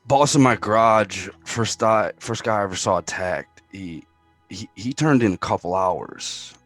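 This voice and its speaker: A man playing a grieving, bitten survivor in a dramatic table read, with a husky voice over a video call. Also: lowish voice, expressive, measured pace, fairly bright tone, no room sound. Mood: weary, resigned. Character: grieving bitten man, raging then wearily resigned. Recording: video call audio under music.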